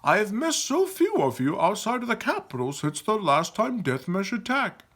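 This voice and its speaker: A man voicing an excitable, reverent young male furry creature with a gushing, dramatic manner in a storybook. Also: high-pitched, moderate pace, voice pushed up, full character voice. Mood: respectful.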